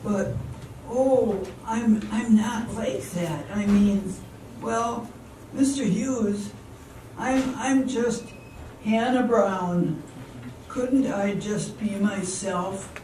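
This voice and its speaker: A woman's voice: mid-range voice, drawn-out words, warm tone. A woman playing a naive, eager but self-doubting young small-town dancer in a theatrical amateur radio drama, hesitant and wide-eyed. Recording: very echoey hall, distant mic, background noise.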